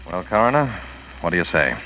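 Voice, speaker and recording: A man's voice: sits low, sing-song, fairly quick. A man playing a terse, probing police detective in a 1940s radio drama, with clipped, businesslike questioning. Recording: muffled vintage radio, faint noise.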